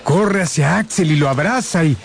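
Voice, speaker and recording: A man narrating a scripted radio drama in an expressive, deliberate storytelling style. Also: on the high side, gravelly, slight background noise. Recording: music under the narration.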